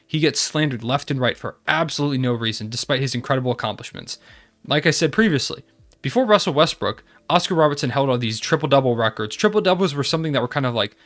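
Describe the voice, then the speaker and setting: A man's voice: medium pitch, a bit bright. A young man hosting a basketball recap channel, talking casually and enthusiastically while stuffy from a cold. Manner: enthusiastic, fan-like. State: congested from a cold.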